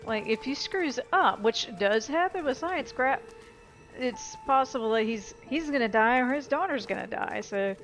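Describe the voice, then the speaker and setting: A woman's voice: fairly high pitch, expressive, normal speed, rough recording. A woman giving casual gameplay commentary as a let's play host, rambling and blunt with some swearing. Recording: loud game music behind.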